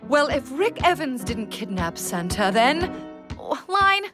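An actress playing a bossy, scatterbrained cartoon amateur thespian who gushes theatrically. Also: female voice, high voice, sing-song, slow, exaggerated voice. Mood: flustered. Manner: overdramatic, gushing. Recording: music behind the voice.

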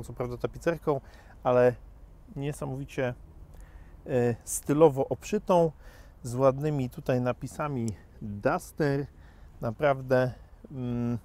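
A man at a car dealership walks round a vehicle and sits inside it, recorded on a camera. He talks off the cuff in a mid-pitched voice, keeping an upbeat sales tone and pausing often on hesitation fillers as he praises the car. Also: a little mellow. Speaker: car dealer presenting a used car. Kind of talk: unscripted car walkaround presentation.

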